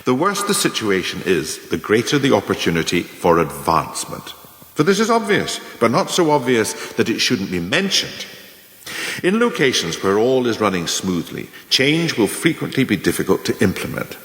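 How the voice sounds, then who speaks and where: A man's voice: lowish voice, very animated, a bit bright. Man playing a pompous manager, echoing hall. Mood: smugly instructive. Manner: pompous, deliberate, oratorical. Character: scheming pompous senior manager lecturing on ruthless career advancement. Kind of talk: theatrical radio play monologue. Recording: echoey hall with long reverb.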